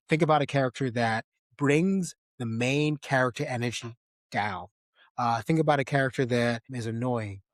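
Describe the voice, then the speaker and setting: Guy hosting a movie podcast, normal pitched voice, casual chat, no room echo. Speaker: podcast co-host. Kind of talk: casual film discussion.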